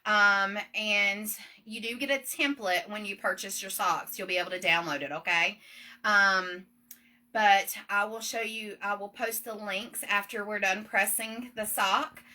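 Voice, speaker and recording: A middle-aged woman with a Southern US accent, a shop owner talking loudly and quickly through a casual live product demonstration, with many ums and okays. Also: medium pitch. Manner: loud, fast and chatty, with many ums and okays.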